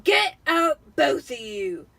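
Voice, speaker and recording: A woman voicing a bullying, blustering middle-aged uncle in a dramatic storybook reading, with a shouting, sneering manner. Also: high-pitched, big pitch swings, slow pace, no echo, voice pushed up, full character voice. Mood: furious.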